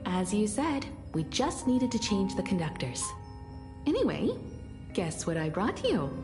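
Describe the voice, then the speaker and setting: A composed, mature woman who speaks slowly with wide, lilting swings of pitch. She is devoted, reassuring and faintly teasing, like an anime game companion, over clear background music. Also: big pitch swings. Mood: warm, eager. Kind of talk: anime-style game voice acting.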